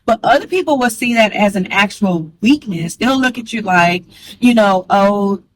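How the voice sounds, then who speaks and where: Chatty fast-talking woman, dry close sound. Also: mid-range voice, expressive, no room sound, rough recording. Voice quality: strong voice. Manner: chatty, candid, calls listener girl; fast talker. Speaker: vlogger. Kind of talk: casual talk to camera.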